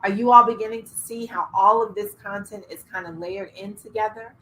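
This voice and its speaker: A middle-aged woman coaching entrepreneurs in an online workshop, energetic, laughing and asking the audience questions. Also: medium pitch, a little fast, low-quality recording. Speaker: business coach. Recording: faint background noise.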